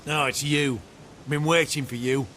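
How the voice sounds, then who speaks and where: A man's voice: fairly even intonation, measured pace, a bit bright. An actor playing a surly, contemptuous military commander who hunts witches in a fantasy game, with a brusque, scornful delivery. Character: surly, foul-mouthed male witch-hunter commander, contemptuous and hard. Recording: game audio with rumbling ambient noise.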